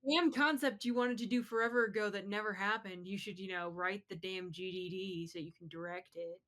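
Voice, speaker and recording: A woman's voice: mid-range voice, steady tone, a little fast, a bit bright. A woman co-hosting a casual podcast, teasing and blunt, swearing casually.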